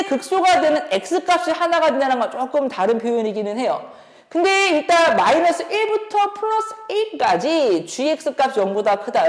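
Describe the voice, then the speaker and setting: A woman teaching as a math instructor, explaining exam problems step by step and posing rhetorical questions as she thinks aloud. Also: fairly high pitch. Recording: reverberant room.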